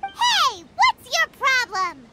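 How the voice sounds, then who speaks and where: A woman voicing a small, spirited childlike fairy companion in a video game, with a squeaky voice. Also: huge pitch swings, takes it slowly, very noisy, exaggerated voice. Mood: indignant. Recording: loud music behind the voice.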